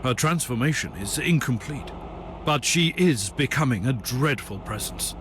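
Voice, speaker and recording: A man's voice: mid-range voice, expressive, moderate pace, a bit bright, slight background noise, lightly acted. A man playing a stern, ruthless human inquisitor in a video game, with a blunt, authoritative delivery. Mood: resolute, wary. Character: stern, commanding male human Inquisitor psyker, ruthless investigator. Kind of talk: dramatic video game acting.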